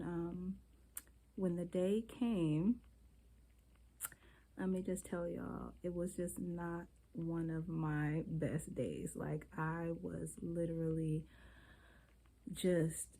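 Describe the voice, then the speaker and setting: Stuffy-nosed woman, slightly low voice. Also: level tone, very slow, pauses often, dark tone, rough recording. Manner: rambling, candid, talks straight to viewers. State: stuffy from a cold. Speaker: beauty vlogger. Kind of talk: casual chatty vlog.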